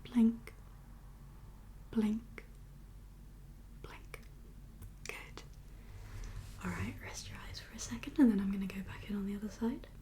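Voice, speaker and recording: A woman whispering as a doctor in an ASMR exam roleplay, giving gentle, reassuring instructions in a breathy voice. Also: mid-range voice, fairly even intonation, a little slow, pauses often. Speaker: ASMR performer playing a doctor.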